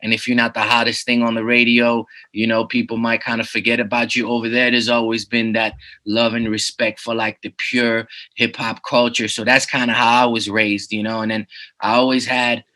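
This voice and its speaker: A man's voice: mid-range voice, level tone, moderate pace, bright, forceful tone. A man in his thirties who grew up in the Netherlands, a rapper talking as an interview guest in a laid-back way, full of "you know what I'm saying" fillers. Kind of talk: casual interview.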